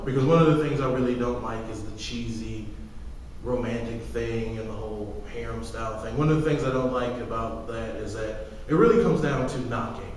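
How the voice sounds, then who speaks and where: A man co-hosting a podcast in casual fan discussion, opinionated, slangy and freely swearing. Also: mid-range voice. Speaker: podcast co-host. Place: reverberant room, far from the mic. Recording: very echoey room, distant mic, background noise.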